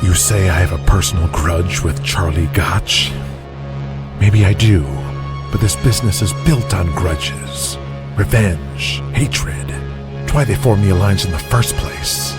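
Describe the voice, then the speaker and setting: A man playing a vengeful, grandiose wrestling promoter in an audio drama, speaking in a deliberate, menacing manner. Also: low-pitched, loud music behind the voice. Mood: brooding.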